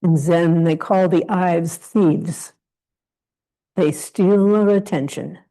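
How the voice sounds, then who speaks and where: Slow, low-voiced woman guiding meditation. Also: poor audio. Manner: gentle, unhurried invitations in short phrases. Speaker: meditation teacher leading a guided meditation. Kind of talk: guided meditation, spoken instruction with long pauses.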